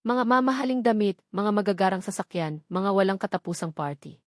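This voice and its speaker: A resolute young Filipino heiress in love, played by a woman narrator in an audiobook romance. She speaks calmly and sincerely at a middle pitch, in a dry recording. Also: a little fast, no echo, lightly acted. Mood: tender reflection. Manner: calm, sincere.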